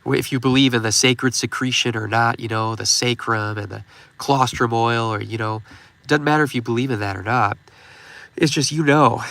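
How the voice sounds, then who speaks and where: A man's voice: mid-range voice, creaky, bright, forceful tone, no room sound. A man in his thirties with a stuffed-up nose, giving rambling casual advice as a channel host and leaning on "you know" fillers. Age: 30s. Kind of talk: casual advice talk on a stream.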